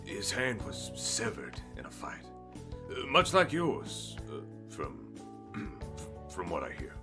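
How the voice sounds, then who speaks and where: A man acting as a gruff, helpful older blacksmith in a fantasy audio play. His voice is very low and swings expressively, telling things haltingly over clear background music. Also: wildly swooping pitch, full character voice. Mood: earnest, explaining.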